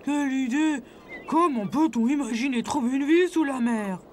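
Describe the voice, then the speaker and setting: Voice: high-pitched, moderate pace, natural voice. A performer voicing a grumpy, sceptical animal sidekick in a cartoon, with a sardonic, doubting manner. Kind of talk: cartoon dub in French. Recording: prominent music behind the voice.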